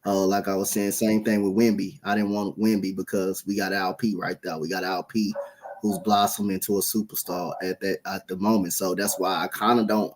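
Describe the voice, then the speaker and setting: Chill low-voiced guy rambling about his team's needs on a podcast. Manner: relaxed, laid-back, rambling opinions. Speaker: podcast host. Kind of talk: casual sports podcast chat.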